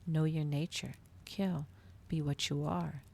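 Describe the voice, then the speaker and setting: A woman's voice: deep voice, fairly even intonation, slow, gravelly, darkish tone, full character voice. A woman voicing a domineering, cruel male vampire in a storybook reading, taunting and theatrical. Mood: insistent.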